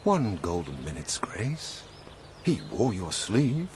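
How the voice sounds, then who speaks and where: A gallant, carefree prince of knights, declaims mockingly in archaic dramatic verse. His mid-pitched voice swings widely at an unhurried pace, with faint noise in the recording. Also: huge pitch swings, darkish tone, heavily acted. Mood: wry, courtly. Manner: flamboyant, declamatory.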